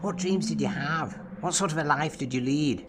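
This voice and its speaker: A man acting a brooding time-travelling alien who serves as his own therapist, speaking in a solemn, deliberate way in an audio drama. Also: on the high side, background noise, close to an everyday voice. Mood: probing, formal. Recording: music under the voice. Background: music.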